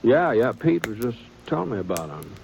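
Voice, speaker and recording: A man's voice: mid-range voice, big pitch swings, a little fast, creaky, low-quality recording. An actor playing a modest drifting cowboy gunman who narrates and talks with a hesitant, drawling stammer in a 1950s radio drama. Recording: old radio broadcast with faint hiss.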